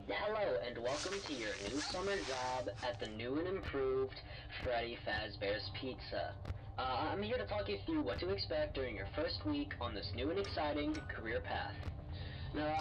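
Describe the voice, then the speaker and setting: A man's voice: a little high, lightly acted. A man playing an affable but evasive company phone guide in a horror game, chatty, hesitant and falsely reassuring. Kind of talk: recorded orientation call, game acting. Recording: dull, noisy phone message sound.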